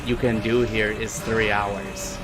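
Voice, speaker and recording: A man's voice: lowish voice, little pitch movement, slow pace. A man streaming a racing game, chatting casually with viewers, thinking aloud and apologizing as he guesses. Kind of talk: casual livestream chat while playing a racing game. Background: game music and engine noise.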